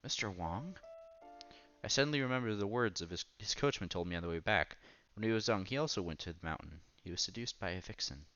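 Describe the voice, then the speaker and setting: Male let's-player, low forceful voice, casual read-aloud with small stumbles, music behind. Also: lively intonation, fast talker, muffled recording. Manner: stumbles and repeats words while reading. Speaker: streamer reading a visual novel aloud. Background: game music.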